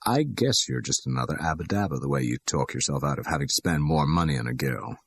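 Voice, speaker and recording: A man voicing a sharp-tongued, teasing young American woman with brisk, slangy talk in an audiobook. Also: a bass voice, lively intonation, slightly rough, heavily acted.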